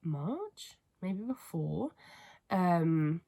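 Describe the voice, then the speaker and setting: A woman's voice: fairly low pitch, very animated, very slow, gravelly, mellow. Stuffy-voiced woman with a cold. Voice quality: stuffy, congested. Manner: rambling, filler-heavy, apologetic. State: has a cold, sniffly. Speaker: book vlogger. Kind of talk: casual chat to camera. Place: family home bedroom, indoors.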